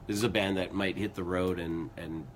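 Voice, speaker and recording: A man co-hosting a music show in casual, rambling chat, a bit hoarse from losing his voice. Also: low voice, steady tone, moderate pace, a little hiss or hum. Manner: rambling, upbeat, lots of fillers. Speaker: co-host of a music news show.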